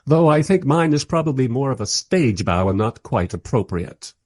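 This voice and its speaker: A man playing a wry, politely exasperated film director who narrates a radio play in conversational asides with a husky voice. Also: medium pitch, very animated, normal speed, darkish tone, dry recording.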